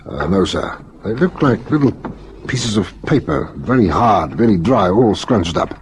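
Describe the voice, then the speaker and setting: A methodical police lieutenant in an old radio crime drama. His questions are terse and businesslike, with a lively rise and fall, over a noisy old recording. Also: lowish voice, a little slow. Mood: probing, thoughtful.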